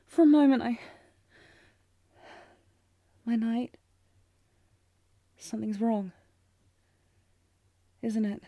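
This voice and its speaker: A woman's voice: a little high, drawn-out words, long pauses, dark tone, no echo. A woman playing a tender, anxious young princess in a romantic audio drama, speaking formally and hesitantly with sighs. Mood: contrite, flustered. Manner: formal archaic diction, hesitant, breathy sighs. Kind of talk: scripted romantic fantasy audio roleplay, intimate.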